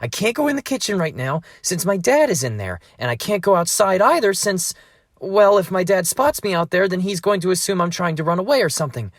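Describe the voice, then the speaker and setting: A man's voice: high voice, animated, brisk, no echo, studio quality. A man voicing an anxious, whiny thirteen-year-old boy in an amateur fandub, exasperated and hesitant. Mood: worried.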